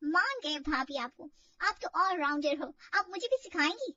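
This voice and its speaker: Woman voicing an excitable, very high-pitched young sister-in-law. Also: normal speed, no room sound, recorded from a distance, dull audio, heavily acted. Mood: eager, admiring. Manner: gushing, exclamatory.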